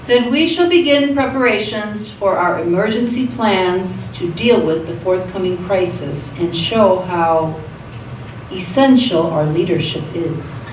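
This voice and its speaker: Woman playing grand scheming council boss in radio theatre, slow delivery, roomy and far off. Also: medium pitch, lively intonation, lightly acted. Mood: measured, calculating. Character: power-hungry conspiratorial council leader, grandly self-assured. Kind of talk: live radio theatre acting. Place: theatre stage. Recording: echoey stage, distant mic, faint noise.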